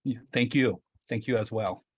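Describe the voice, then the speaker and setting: Middle-aged man, thoughtful executive in casual talk, dry close mic, everyday pitch. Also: moderate pace, breathy edge, no room sound. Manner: reflective, hedging, often asks "right?". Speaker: company executive. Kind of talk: casual panel discussion.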